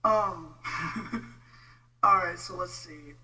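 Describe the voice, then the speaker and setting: Texan man, very bright forceful voice, higher-pitched, informal exasperated livestream rant, halting delivery, distant mic with faint hum. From Texas, United States. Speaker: vlogger, religious debate commentator. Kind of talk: casual livestream rant replying to viewer comments.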